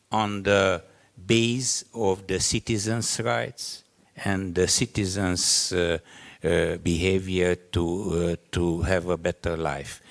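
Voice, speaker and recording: A man from Romania speaks live on a conference panel with a Hungarian-Romanian accent. His voice sits low and his delivery is markedly slow. He is halting in English, feeling for each phrase as he goes. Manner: halting, searching for words in non-native English.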